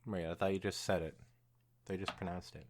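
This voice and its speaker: A young man hosting a podcast, reading fan fiction aloud in an animated way mixed with casual chat. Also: deep voice, a little fast.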